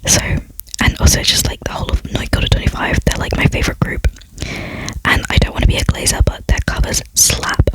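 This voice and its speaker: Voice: fairly quick, rough recording. A young adult chatting casually in a whisper, ASMR-style, in a rambling, self-deprecating way as a video creator.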